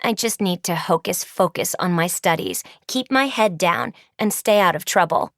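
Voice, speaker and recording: A woman's voice: fairly low pitch, expressive, normal speed, fairly bright tone, dry recording, studio quality. A woman voicing an earnest, polite teenage schoolgirl in a storybook, speaking hesitantly. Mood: resigned.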